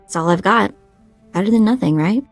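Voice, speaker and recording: A woman's voice: medium pitch, fairly quick, faint music behind, close to an everyday voice. A woman playing a keen young hacker sidekick in a video game, talking in a breezy, informal way. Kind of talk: video game cutscene acting.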